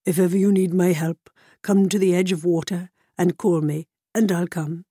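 A woman voicing a calm, measured king of the fish. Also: fairly low pitch, slightly rough, no echo, studio quality. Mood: grateful.

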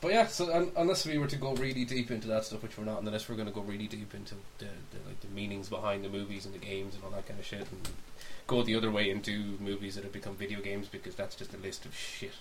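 Man podcasting over clear hiss. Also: fairly low pitch, steady tone, fast talker, hardly any pauses, poor audio. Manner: self-deprecating, dry joking, sweary. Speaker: podcast co-host. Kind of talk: casual, rambling podcast chat. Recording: hissy amateur podcast recording.

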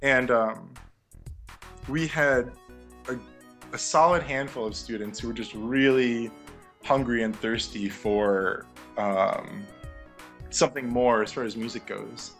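A young man talks about his years of teaching music abroad, speaking casually and thoughtfully. His pitch sits in a typical middle range, and he leans on ums and you-knows as he gathers his thoughts. Faint music plays quietly behind him. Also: slow pace, pauses often. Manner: reflective, many fillers and restarts, laughs lightly. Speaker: interview guest, former music teacher. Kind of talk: casual conversational interview.

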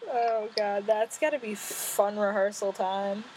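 A woman's voice: mid-range voice, fairly even intonation, a little slow, darkish tone, a little hiss or hum, low-quality recording. A woman hosting a casual podcast, teasing her guests and laughing easily. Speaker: podcast host. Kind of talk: casual chat.

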